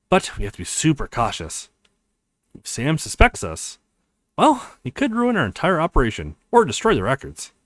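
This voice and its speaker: An earnest young college man leading a scheme, voiced by a male let's player. His visual novel lines are explanatory, with a fairly high pitch that moves around a great deal. The sound is dry. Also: dry recording.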